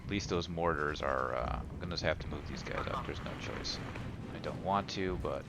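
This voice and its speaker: Very deep, hesitant man thinking aloud. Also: background noise. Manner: hesitant, halting, self-deprecating, many uhs and ums. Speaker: player commentating own game. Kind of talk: unscripted gameplay commentary, thinking aloud. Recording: loud game music and battle sounds behind. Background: game music, artillery fire, vehicles.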